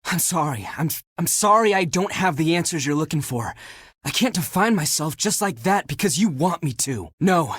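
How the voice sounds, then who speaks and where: Game role: living laundry heartthrob, husky and breathy, casual flirt with an insecure streak, close dry sound. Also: male voice, on the high side, expressive, normal speed, a bit bright, dry recording. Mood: anguished, defensive. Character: flirty, laid-back living pile of male laundry, secretly insecure. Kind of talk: video game dating character acting.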